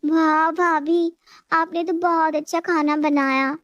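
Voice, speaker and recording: A woman playing a sly, lazy younger daughter-in-law in an animated moral story, wheedling and petulant. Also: very high voice, takes it slowly, dry recording, dull audio, full character voice. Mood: sweetly flattering.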